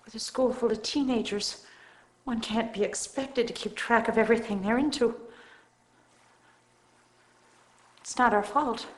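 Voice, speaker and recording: A woman's voice: a little high, close to an everyday voice. A woman playing an anxious, defensive boarding school headmistress in a video game. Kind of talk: FMV game acting.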